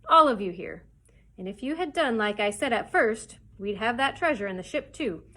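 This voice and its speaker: A woman's voice: mid-range voice, lively intonation, normal speed, a bit bright. Woman playing a blustering, wily pirate captain. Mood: angry, scolding. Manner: rough sailor talk, blustering and cajoling. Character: bold, wily pirate captain, adult man, commanding.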